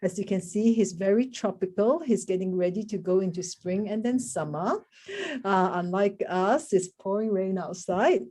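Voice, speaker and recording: A woman's voice: medium pitch, normal speed, mellow. A woman hosting an online session, giving an informal introduction with hesitant asides. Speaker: session host, moderator. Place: video call.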